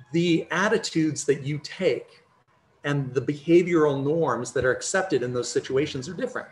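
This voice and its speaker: A man's voice: on the high side, slight background noise. Man lecturing over a video call. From United States. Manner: measured, explanatory, personal examples. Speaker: conference lecturer. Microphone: video call, computer mic.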